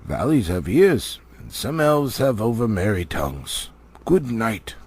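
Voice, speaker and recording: Man doing old male wizard, wise, hushing, kindly, creaky, storybook fantasy. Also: lowish voice, sing-song, full character voice. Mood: gently cautioning. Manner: hushing, measured. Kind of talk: storybook character voice.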